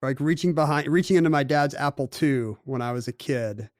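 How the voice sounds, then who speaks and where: Wry man co-host, fairly high voice. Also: dry recording, clean studio sound. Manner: quick, wry, explaining facts. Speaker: podcast co-host. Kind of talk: casual chat.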